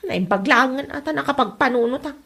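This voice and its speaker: A woman's voice: high-pitched, brisk, creaky, fairly bright tone, slightly rough, exaggerated voice. A woman with an Ilocano Filipino accent playing an aggrieved girlfriend in a comedy audio drama. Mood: indignant. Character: aggrieved girlfriend demanding her money back.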